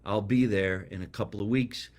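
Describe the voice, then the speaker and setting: A man's voice: fairly low pitch, normal speed. Chatty man with a New Jersey accent. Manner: chatty, rambling, animated storytelling. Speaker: podcast host. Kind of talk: casual live chat, reading viewer comments.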